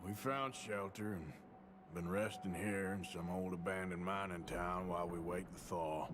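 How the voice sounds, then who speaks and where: An actor playing a wry, gruff, loyal outlaw gunman in a western video game. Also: low-pitched, steady tone, normal speed, background noise, natural voice. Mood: weary. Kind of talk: cinematic western game acting. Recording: game soundtrack music underneath.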